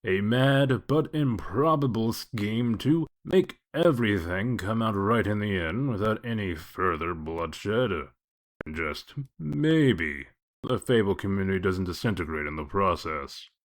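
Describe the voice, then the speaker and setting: A gruff comic-book detective acted by a man with a low voice. He talks in a dry, wry, evasive way, sardonic and unhurried to explain himself. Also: animated. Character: gruff, sardonic male detective of exiled fables. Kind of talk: comic dub character acting.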